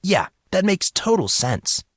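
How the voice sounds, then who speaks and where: A man's voice: high-pitched, sing-song, unhurried, fairly bright tone, dry recording, studio quality, voice pushed up, heavily acted. A man voicing an embarrassed teenage boy, awkward and dryly sarcastic, in an audiobook story. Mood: exasperated, sarcastic.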